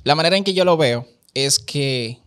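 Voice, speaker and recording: A man's voice: on the high side, lively intonation, normal speed, fairly bright tone, smooth. A man talking casually as a podcast guest, explaining his views and quoting imagined speakers. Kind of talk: casual conversational testimony.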